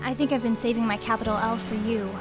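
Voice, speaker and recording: A woman acting as an eager sweetheart in love, with a fairly high voice. It is musical audio drama dialogue with loud music behind her. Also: steady tone, fast talker, natural voice. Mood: affectionate, eager.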